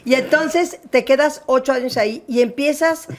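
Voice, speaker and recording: A woman hosting an interview, friendly and prompting her guest with quick affirmations. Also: high voice, darkish tone. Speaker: interviewer and channel host. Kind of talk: conversational interview.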